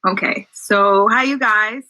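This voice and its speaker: A woman's voice: medium pitch, slow pace, pushed and bright, dry recording, poor audio. A young woman from El Salvador, a native Spanish speaker, chatting as an interview guest and often checking in with "right?". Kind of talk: casual storytelling.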